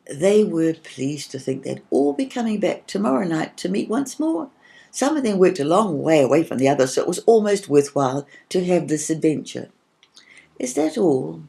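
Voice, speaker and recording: A woman reads a children's story aloud in a low, mellow voice with a noticeable creak. Her delivery is that of a scripted audiobook narrator, expressive in the telling and gently shaping the dialogue. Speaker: audiobook reader.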